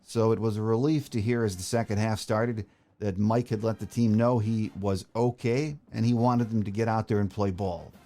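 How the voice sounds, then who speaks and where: Man, sports podcast host, lower-pitched, soft-edged mellow tone, interview and commentary style. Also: steady tone, normal speed.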